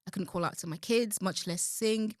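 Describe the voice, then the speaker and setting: A woman's voice: mid-range voice, moderate pace, no echo, studio quality. A woman guest on an interview show, a gospel singer talking earnestly and reflectively about her music and faith. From London. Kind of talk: casual interview talk.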